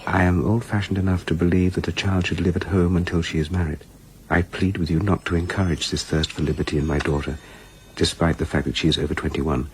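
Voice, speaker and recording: An actor playing a genial middle-aged father in a radio drama, measured, courteous and formal. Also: sits low, flat delivery, a little hiss or hum, poor audio. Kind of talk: dramatic radio play acting.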